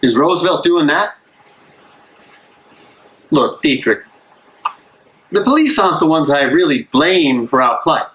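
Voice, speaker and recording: A man acting an earnest, weary American minister of the 1930s in a live dramatic reading, speaking emphatically and deliberately. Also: a little high, expressive, fairly bright tone. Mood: aggrieved, earnest. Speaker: actor in a dramatic play reading.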